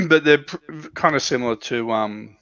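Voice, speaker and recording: Gravelly man on dull, muffled audio. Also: on the high side, lively intonation, a little slow, pushed and bright, dry recording. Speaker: panelist on a hobby livestream. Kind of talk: casual chat about miniature wargaming.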